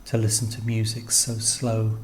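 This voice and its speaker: A man reading scripted literary narration in a measured, sombre manner. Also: fairly low pitch, monotone, a little hiss or hum.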